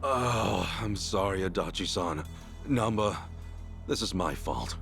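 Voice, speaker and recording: A man's voice: deep voice, expressive, slow, gravelly, loud music behind the voice, full character voice. A man voicing an earnest, apologetic ex-gangster hero in a dramatic video game cutscene. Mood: guilty. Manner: apologetic, sincere. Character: earnest, guilt-ridden ex-yakuza hero, adult man.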